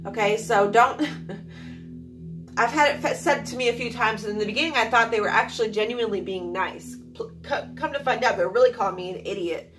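Young woman from Alaska, high voice, rambling vlog chat, slightly distant mic, faint music behind. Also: moderate pace. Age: young adult. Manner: rambling, animated, many fillers and restarts. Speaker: vlogger. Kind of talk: casual chat to camera. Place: small room at home, indoors.

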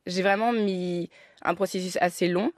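A woman's voice: lowish voice, steady tone, moderate pace, no room sound. A woman in her thirties, a film director guest on a radio interview, talking with many restarts and fillers into a studio mic. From France. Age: 30s. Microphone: studio mic on arm.

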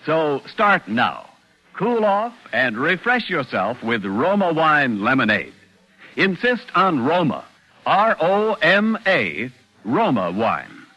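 A man's voice: a little high, pushed and bright, low-quality recording. A man announcing a 1940s radio commercial with a polished, emphatic sales delivery. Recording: 1940s radio recording, music behind.

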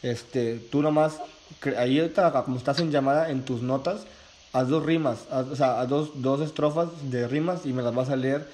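A young man with a Mexican accent chats casually on a prank call. His voice sits at a middle pitch, picked up across a small room with some noise. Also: normal speed, a little mellow, low-quality recording. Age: young adult. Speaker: prank caller, video host. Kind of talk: casual prank phone conversation, improvised. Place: small bedroom, indoors.

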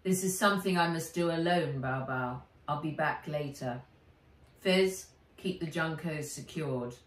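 A woman's voice: sits low, unhurried. A woman voicing a stern, protective man in a children's adventure story, firm and commanding. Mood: firm, guarded. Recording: roomy, distant home camera sound.

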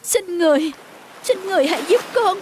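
A woman playing a devoted, anxious young fisherman's wife in an animated folktale, pleading and respectful, with emotion. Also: very high-pitched, fairly quick, noisy background.

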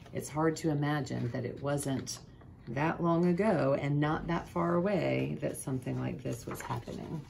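Low, creaky-voiced woman reading a storybook. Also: a little hiss or hum. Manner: deliberate, expressive storytelling with questions to listeners. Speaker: school principal, guest storybook reader. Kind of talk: children's picture book read-aloud with asides. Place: small office, indoors.

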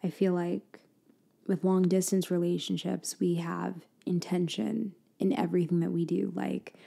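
A woman doing a girlfriend roleplay for ASMR, speaking softly and intimately, slipping in and out of a whisper and often trailing off mid-thought. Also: little pitch movement, unhurried, creaky. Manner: soft, intimate, drifting between low voice and whisper; hesitant, trailing off and restarting.

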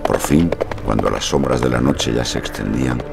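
An actor playing a melancholy, uneasy gentleman traveller, narrating a Gothic audio drama in a measured, grave, literary manner. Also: rumbling low, creaky, heavy background noise. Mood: somber, uneasy.

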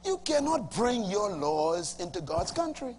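A middle-aged Bahamian man preaching a sermon to a congregation, emphatic, swinging from loud bursts to low asides. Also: very animated, a little slow. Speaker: pastor. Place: large church auditorium with a congregation. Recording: reverberant hall, faint hum.

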